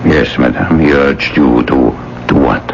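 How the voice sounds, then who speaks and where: An actor playing a grave, courteous police officer in a radio drama, speaking formally and deliberately. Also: male voice, a bass voice, fairly even intonation, creaky, exaggerated voice. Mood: solemn, considerate. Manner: formal, deliberate, politely apologetic. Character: grave, courteous male police officer delivering grim news. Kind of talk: 1980s radio drama acting. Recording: dull, noisy old radio broadcast.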